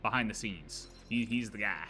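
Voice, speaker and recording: Bright-voiced young man streaming. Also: lowish voice, normal speed, soft background music. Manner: rambling, speculative, casual. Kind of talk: live game reaction stream.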